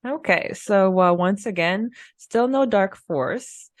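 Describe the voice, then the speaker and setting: A woman co-hosting a podcast in casual fan discussion, halting as she thinks aloud. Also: medium pitch, no echo, studio quality. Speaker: podcast co-host.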